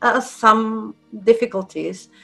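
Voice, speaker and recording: A woman's voice: a little high, takes it slowly, vocal fry, bright, forceful tone, faint music behind, poor audio. A woman hosting a podcast, chatting casually and lightly teasing her guest. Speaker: podcast host. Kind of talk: casual interview chat.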